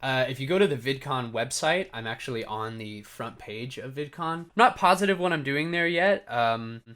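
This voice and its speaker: A man's voice: mid-range voice, expressive, normal speed, fairly bright tone. A young man with a slightly scratchy voice, vlogging in a casual, storytelling way as he answers viewer questions. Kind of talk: casual chat to camera with storytelling.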